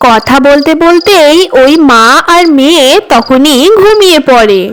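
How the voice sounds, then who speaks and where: High-pitched woman storyteller. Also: lively intonation, measured pace. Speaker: narrator. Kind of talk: scripted children's story narration.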